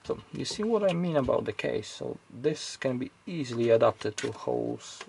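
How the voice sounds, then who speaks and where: A man's voice: medium pitch, animated, normal speed, gravelly, a little mellow, slight background noise. A man giving casual, off-the-cuff technical commentary as he hosts an electronics teardown, hesitantly thinking aloud as he goes.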